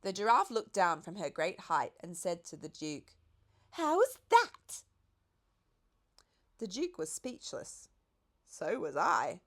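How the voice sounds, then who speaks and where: A woman's voice: on the low side, animated, a little slow, a bit bright. A woman narrating a children's storybook expressively as an audiobook reader.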